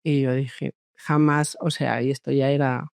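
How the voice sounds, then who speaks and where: A woman psychologist born in France, telling her story in a relaxed interview with many hesitations and restarts. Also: a bass voice, a little slow, gravelly, no room sound, studio quality. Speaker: guest psychologist. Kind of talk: conversational interview.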